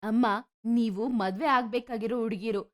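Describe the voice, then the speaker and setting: A woman's voice: a little high, steady tone, moderate pace, close to an everyday voice. A woman voicing a kindly, concerned middle-aged village mother with a motherly, admonishing manner. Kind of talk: animated moral story dialogue.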